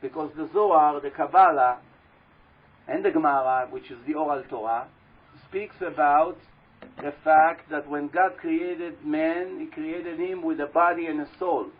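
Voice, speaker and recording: Man, loud husky voice, fairly high-pitched, religious talk before an audience, dull lo-fi recording. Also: unhurried. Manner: storytelling with questions to the audience. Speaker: religious teacher speaking to an audience. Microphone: handheld or stand microphone.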